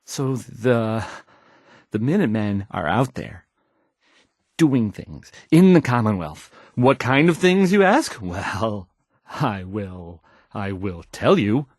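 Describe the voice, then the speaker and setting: A man's voice: lowish voice, lively intonation, no echo, studio quality. A man playing a timid, awkward young radio DJ in a video game, hesitant and apologetic as he stammers through the news in a shaky voice. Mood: nervous, tentative. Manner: stammering, halting, apologetic, trailing off. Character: timid, awkward young male radio DJ, anxious and unsure of himself.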